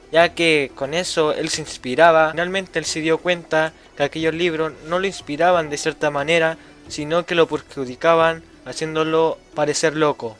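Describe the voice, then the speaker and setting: A man's voice: fairly high pitch, a bit bright. A man narrating a scripted summary of a story. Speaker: narrator of a student book summary.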